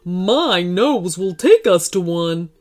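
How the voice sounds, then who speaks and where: Woman putting on a slow, grumbly big yeti. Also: fairly low pitch, expressive, a little mellow. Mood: proud, sure. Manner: slow, drawn-out delivery. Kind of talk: children's storybook character voice.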